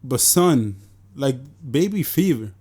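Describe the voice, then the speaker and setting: A man's voice: mid-range voice, expressive, slow, warm tone. A man in his twenties with a New York accent, co-hosting a casual podcast chat, joking loosely and swearing freely. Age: 20s. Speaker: podcast co-host.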